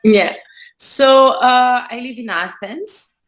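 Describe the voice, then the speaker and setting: A woman's voice: on the high side, slow, fairly bright tone, soft background music. A woman from Greece, a yarn dyer, chatting as a guest in a casual video-call interview from her studio, with many fillers and restarts. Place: home studio.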